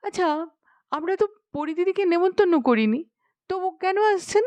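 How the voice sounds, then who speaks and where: A woman's voice: extremely high pitch, expressive, moderate pace, a little mellow, no echo, muffled recording, voice pushed up. A woman voicing a haughty, spoiled young princess in a storybook, asking questions in a huffy, indignant manner. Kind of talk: dramatised storybook reading.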